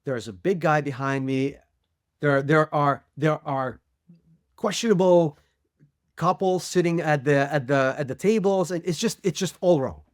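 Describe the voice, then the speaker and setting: A man's voice: fairly high pitch, measured pace, no echo, studio quality. A man from Ukraine, co-hosting a podcast and telling a rambling, self-amused personal story. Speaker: podcast co-host. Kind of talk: casual interview, personal story.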